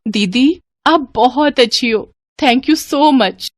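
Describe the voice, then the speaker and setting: A woman voicing a sweet, dutiful young village daughter in a gentle, earnest, girlish manner for a moral story. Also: sits high, drawn-out words, dry recording, clean studio sound, voice pushed up. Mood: earnest, grateful.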